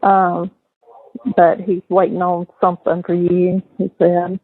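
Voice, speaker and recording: A woman's voice: fairly low pitch, monotone, a little slow. A middle-aged woman talking casually on a recorded phone call. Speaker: mother on a call to her son in jail. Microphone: telephone.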